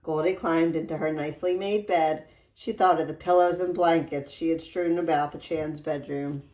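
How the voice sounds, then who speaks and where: A woman reading a children's storybook aloud in an expressive, deliberate storytelling manner. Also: sits low. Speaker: read-aloud narrator. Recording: roomy echo.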